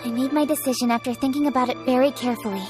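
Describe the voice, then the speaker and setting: High-voiced, serious young noblewoman played by a woman. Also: fairly even intonation, music in the background, lightly acted. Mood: firm, earnest. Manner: formal, measured, earnest. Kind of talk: anime-style game voice acting.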